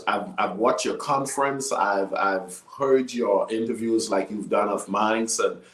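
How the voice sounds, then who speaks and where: A man's voice: medium pitch, fairly bright tone. A man hosting a talk show in a conversational, emphatic way, often repeating words, with an echoing sound. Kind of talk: conversational interviewing.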